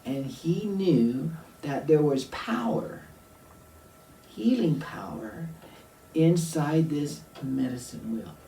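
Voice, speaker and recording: A man telling a story aloud to children, deliberate, with dramatic pauses and questions for the listener. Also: fairly high pitch, animated, vocal fry, dark tone. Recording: roomy echo, distant mic, faint noise.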